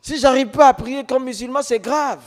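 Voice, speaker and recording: High-voiced man preaching in a reverberant hall. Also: fairly even intonation, normal speed. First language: non-French mother tongue. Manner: emphatic, repetitive, rhetorical questions. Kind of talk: testimony preaching to an audience.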